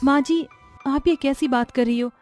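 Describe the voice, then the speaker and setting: A woman's voice: high-pitched, normal speed, a little mellow, faint music behind, close to an everyday voice. A woman playing a patient, dutiful young daughter-in-law, polite and placating, in a cartoon story.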